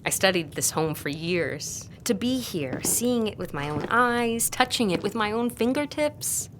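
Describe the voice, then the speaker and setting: A woman in an audio drama playing an earnest, guarded young scholarly tutor who speaks in a measured, formal way. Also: mid-range voice, animated, noisy background, close to an everyday voice. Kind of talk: audio drama acting with formal first-person narration.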